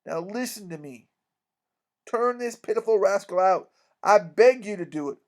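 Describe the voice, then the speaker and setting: A man's voice: high-pitched, animated, moderate pace. A man voicing a blunt, iron-jawed country doctor from a storybook, scolding and emphatic. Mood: urgent, warning.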